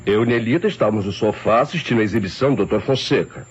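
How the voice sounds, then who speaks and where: A man's voice: on the low side, very fast, natural voice. An actor playing a dismissive, brusque businessman being questioned in an old radio mystery. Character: male real estate broker guest, dismissive, brusque witness. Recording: dull, noisy old radio drama recording.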